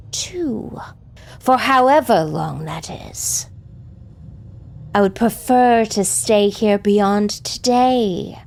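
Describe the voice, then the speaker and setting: An actress playing a grave, defiant otherworldly woman, speaking in a measured, formal and dramatic way in a fantasy audio story. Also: medium pitch, creaky, slight background noise, heavily acted.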